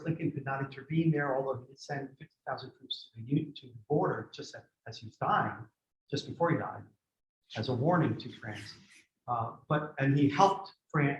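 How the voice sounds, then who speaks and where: A man born in Greece giving a history lecture and answering audience questions in a thoughtful, digressive way with many restarts. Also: medium pitch. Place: community center lecture room. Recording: echoey room, dull lo-fi sound.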